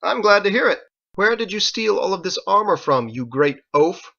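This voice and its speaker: A man's voice: high-pitched, animated, moderate pace, creaky, bright, forceful tone, muffled recording, pitched above the performer's own voice, exaggerated voice. A man voicing a pompous, smugly confident lawman in armor for an audiobook, with formal, haughty diction. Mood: cheerfully haughty.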